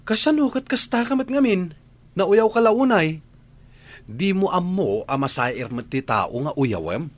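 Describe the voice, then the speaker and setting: A man's voice: sits high, sing-song, a little slow, full character voice. A man playing an exasperated, mocking character who rebukes someone in an audio drama. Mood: incredulous. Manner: mocking, scolding. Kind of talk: Filipino audio drama acting.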